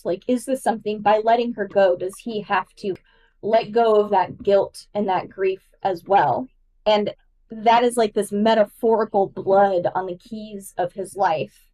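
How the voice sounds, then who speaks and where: A woman's voice: medium pitch, a little mellow, far from the mic, rough recording. A woman giving enthusiastic, emphatic literary analysis as a podcast guest, casual and nerdy in her delivery.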